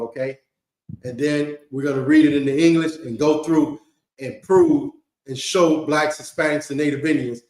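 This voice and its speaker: A man leading a holy day gathering, talking in a loose, conversational way and often checking in with "okay?" and "you know what I mean?". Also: a little high. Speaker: congregation leader. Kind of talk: informal sermon to a congregation. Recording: echoey hall.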